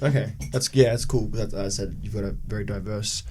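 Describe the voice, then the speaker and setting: A man hosting a podcast, asking relaxed questions and giving short replies. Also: lowish voice, fairly quick, background noise. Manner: relaxed, brief back-channel replies. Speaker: podcast host. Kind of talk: casual interview.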